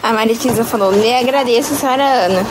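A woman's voice: a little high, quick pace. A woman with a Brazilian Portuguese accent, reading viewer comments aloud and greeting people during a live stream. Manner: reads comments aloud, laughs a lot. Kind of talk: casual live-stream chat. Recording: noisy sewing room.

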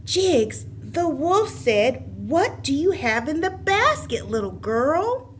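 Woman playing a sensible dog puppet, high pitch, animated swoops, exasperated, scolding, emphatic, children's theater. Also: slow, a little hiss or hum, heavily acted. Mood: patiently correcting.

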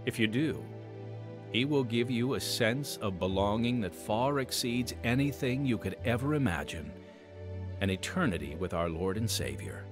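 A man's voice: mid-range voice, lively intonation, normal speed. A man hosting and narrating a scripted radio podcast, addressing listeners earnestly and directly. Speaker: podcast host. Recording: dry studio with music bed.

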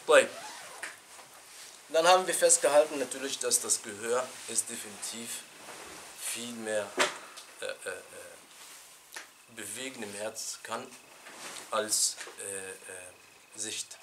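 A middle-aged man teaching an informal religious lesson in a small room, animated and chatty, laughing with his students and restarting his sentences. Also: on the low side, takes it slowly, pauses often, noisy background. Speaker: sheikh teaching a Quran class. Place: small indoor classroom.

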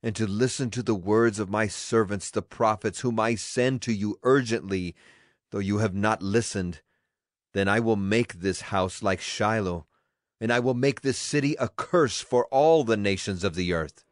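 A man's voice: fairly low pitch, normal speed, dry recording, studio quality, lightly acted. A man playing an earnest prophet who declaims stern warnings in a dramatized scripture reading.

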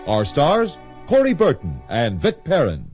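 Very animated male radio announcer. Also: on the high side, measured pace. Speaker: programme announcer. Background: music.

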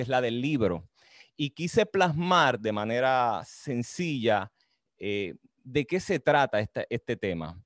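Male, middle-aged, Caribbean Spanish accent, medium pitch; author-teacher explaining thoughtfully, close dry video-call sound. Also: no room sound. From Dominican Republic. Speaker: author and history teacher presenting his book. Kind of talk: book talk, explanatory storytelling. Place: indoor room with bookshelves, on a video call.

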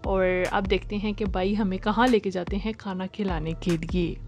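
A woman's voice: medium pitch, fairly even intonation, normal speed, darkish tone, highs cut off, faint music behind. A woman narrating a casual family day-out vlog in a cheerful way, often mixing English phrases into her speech. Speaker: vlogger.

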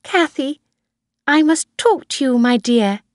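Woman playing a stern elderly grandmother, raised lively pitch. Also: slow, dry recording, studio quality. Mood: kindly, serious. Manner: firm, emphatic, admonishing. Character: stern elderly grandmother, a kindly but bossy employer.

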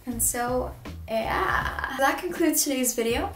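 A woman's voice: high-pitched, slow pace, fairly bright tone, far from the mic, faint music behind. A young woman from the Philippines talking candidly to camera in a casual vlog, with lots of "like", swearing and laughter. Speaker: vlogger. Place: small bare room, indoors. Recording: echoey room, mic some way off.

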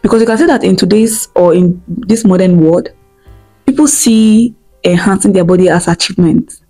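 A woman's voice: medium pitch, moderate pace, mellow, soft background music, poor audio. Earnest Igbo-accented young woman, lapel mic. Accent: Nigerian (Igbo). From Nigeria. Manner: earnest, repeats key points, checks in with "okay?". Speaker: vlogger. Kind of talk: motivational talk to camera. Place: indoor room at home.

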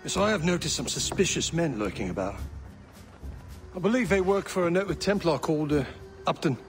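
An actor playing a guarded, sly assassin bureau chief in a video game, speaking with insinuating pauses. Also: male voice, on the high side, lively intonation, faint music behind. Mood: wary, scheming. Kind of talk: video game drama acting.

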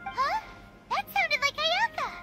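Tiny chirpy fairy sidekick for a game: squeaky, childlike, excitable, swooping intonation. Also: female voice, takes it slowly, exaggerated voice. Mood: puzzled. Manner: excitable, refers to herself in third person. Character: tiny childlike floating fairy girl companion, chirpy and curious. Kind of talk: video game voice acting. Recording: music behind the voice. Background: game music.

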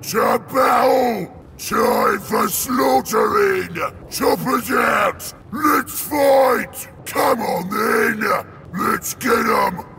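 A man voicing a brutish, bloodthirsty orc warrior for a video game, bellowing short, rough war cries, eager for battle. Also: very high-pitched, very slow, hardly any pauses, sharp tone, raised voice, a little hiss or hum, full character voice. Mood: bellowing, impatient. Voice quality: gravelly, rough.

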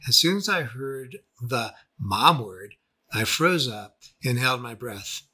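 A man reading a scripted first-person memoir as its narrator, with a husky voice. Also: mid-range voice, lively intonation, takes it slowly, pushed and bright. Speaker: author and narrator.

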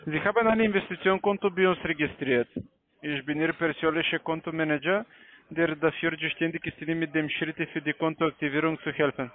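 A man with a foreign, non-native accent making a polite, halting sales call in broken grammar. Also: on the high side, steady tone. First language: not German. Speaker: call center scam caller. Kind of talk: semi-scripted sales phone call. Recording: tinny narrowband phone line.

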